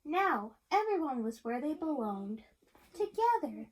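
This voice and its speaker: A woman reading a picture book aloud to young listeners in an animated way, chatting and asking them questions as she goes. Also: high voice, unhurried. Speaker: reader of a picture book to children. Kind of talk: read-aloud storytelling with chat to young listeners.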